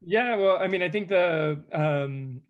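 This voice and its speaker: Male moderator on a video call, slightly high-pitched, halting delivery with frequent restarts. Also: narrow, tinny audio. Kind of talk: roundtable discussion.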